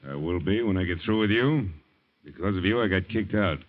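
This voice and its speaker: A man's voice: deep voice, brisk, natural voice. An actor playing a bitter, menacing disgraced policeman with taunting, hard-boiled talk in an old radio crime drama. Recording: muffled old radio recording.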